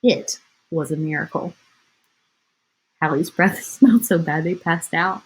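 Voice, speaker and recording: A woman's voice: lowish voice, a little slow, poor audio. A woman teacher reading a picture book aloud to children, expressively, with chatty asides.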